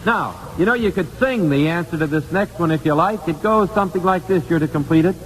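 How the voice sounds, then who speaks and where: A man's voice: on the high side, fairly quick. Man, 1950s radio quiz host, formal but chatty, courteous, big echoing space, faint old-broadcast noise.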